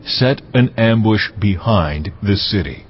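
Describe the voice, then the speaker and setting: An actor playing the solemn, commanding voice of God in stately, emphatic pronouncements for a dramatized audio Bible. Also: male voice, on the low side, lively intonation, takes it slowly, slight background noise. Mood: commanding, assuring. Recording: slightly muffled, music behind the voice. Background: dramatic music.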